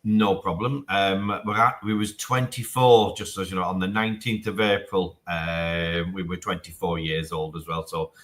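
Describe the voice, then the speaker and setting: A man with a northwest English accent from Manchester, a little croaky, talking casually as an interview guest with wry, hesitant phrasing. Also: deep voice, steady tone, moderate pace, few pauses, fairly bright tone. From Manchester, northwest England. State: slightly croaky after flu. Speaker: interview guest, telecoms chief executive.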